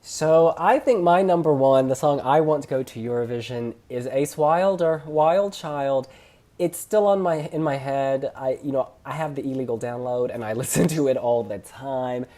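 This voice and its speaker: A man hosting a casual review chat, sharing opinions in an expressive way with emphatic phrasing. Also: medium pitch, moderate pace. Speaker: host reviewing song contest entries.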